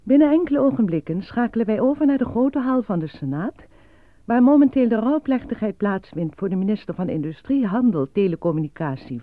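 An actress voicing a formal, matter-of-fact state broadcast announcer reading grim bulletins in a radio play. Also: female voice, mid-range voice, no room sound, close to an everyday voice. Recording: dry, dull lo-fi radio recording.

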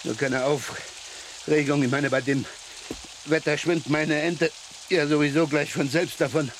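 A man's voice: on the high side, fairly even intonation, a little slow, slight background noise, low-quality recording. A man playing a nervous, flustered motorist in a German radio crime play, stammering and over-eager to please. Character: nervous, flustered motorist hiding a heavy secret load.

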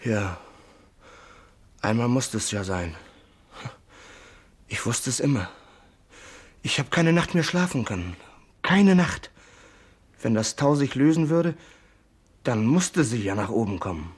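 Casting: haunted young sailor, husky, very slow and halting, heavy with guilt, vintage radio drama. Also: male voice, mid-range voice, sing-song, heavily acted. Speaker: actor in a radio crime play. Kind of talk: 1950s German radio play acting.

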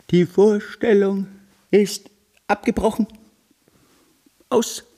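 An imperious, outraged wife for a radio play. The voice is pushed far up high and declaims curt proclamations over and over, theatrical and shrill. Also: male voice, a little mellow, slightly rough, exaggerated voice. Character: shrill, imperious wife declaring a scandal, as imitated by her husband.

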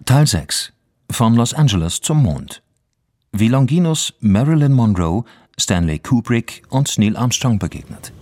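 A man's voice: medium pitch, big pitch swings, measured pace, darkish tone, no echo, studio quality. A man announcing episodes of a radio drama in a scripted style.

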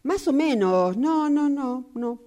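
A woman's voice: high-pitched, animated, takes it slowly, warm tone. A woman acting in a radio drama as an indulgent, evasive fortune-teller with a Rioplatense Argentine accent, agreeing soothingly and repeating her words. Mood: placating. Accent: Rioplatense Argentine Spanish.

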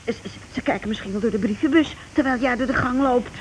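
Casting: woman playing an anxious, simple working-class wife, high voice, faltering delivery, radio drama, faint noise. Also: quick pace, lightly acted. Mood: frightened. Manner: hesitant, naive, stammering when nervous. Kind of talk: Dutch radio play acting. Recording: noisy old radio play recording.

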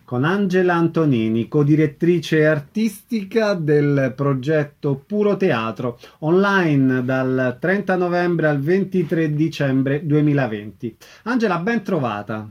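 High-voiced man hosting radio. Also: expressive, measured pace, few pauses, a little mellow. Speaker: radio host, interviewer. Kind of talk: radio interview presenting. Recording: slightly dull radio audio.

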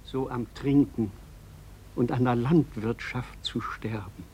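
A man doing a gentle old village pastor. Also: mid-range voice, takes it slowly, heavy background noise, natural voice. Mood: mournful. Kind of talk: radio play acting.

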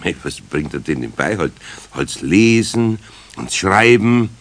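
A man playing an older, good-natured but grumbly carpenter with a Bavarian accent in a children's radio play, his voice husky. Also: sits low, very animated, measured pace, full character voice. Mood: patiently explaining. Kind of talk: 1970s radio play acting.

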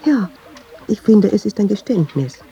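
Gravel-voiced, very dark brooding woman. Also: mid-range voice, big pitch swings, normal speed. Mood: reflective, uneasy. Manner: reflective, short clipped sentences, musing. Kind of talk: literary radio play monologue and dialogue. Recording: dull sound, faint noise.

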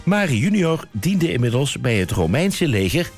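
A wry narrator, animated intonation. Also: male voice, fairly high pitch, normal speed, faint music behind. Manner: tongue-in-cheek, deadpan. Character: wry, mock-solemn storyteller of a comic nativity play. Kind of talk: scripted narration.

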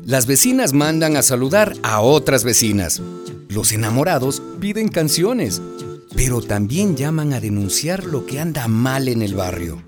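A man narrating a neighbourhood radio drama as an expressive storyteller, in a scripted style. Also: medium pitch, natural voice.

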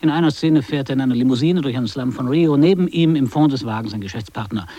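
A man's voice: mid-range voice, quick pace, mellow, dry recording, lo-fi sound, lightly acted. Man acting as radio commentator, cool, skeptical, pointed delivery, close dry studio sound, 1980s radio drama.

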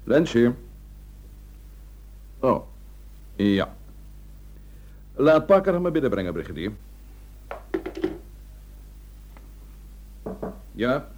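An actor in a Dutch radio drama playing a sceptical, wry police inspector who hums and probes with questions. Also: male voice, mid-range voice, very animated, takes it slowly, darkish tone, slight background noise. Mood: brisk, flustered. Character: gruff, sceptical police inspector, career-minded former suitor.

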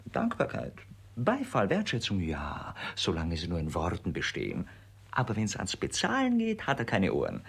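An actor playing a wily, mocking servant in a radio play, with theatrical emphasis. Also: male voice, deep voice, wildly swooping pitch, normal speed, creaky, a bit bright, slightly rough, exaggerated voice. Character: cheeky, sardonic, wily male servant. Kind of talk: German radio play stage acting.

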